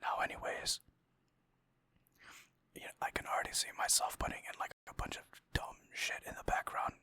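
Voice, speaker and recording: A man's voice: moderate pace, bright, forceful tone, dry recording. A young man whispering close to the mic in a rambling, casual ASMR chat over gameplay. He mocks himself and swears now and then. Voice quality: breathy whisper. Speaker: ASMR gaming creator.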